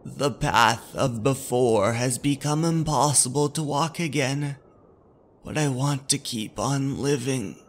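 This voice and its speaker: A man narrating in a measured, deliberate way, as the host of a horror story channel. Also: mid-range voice, a little hiss or hum.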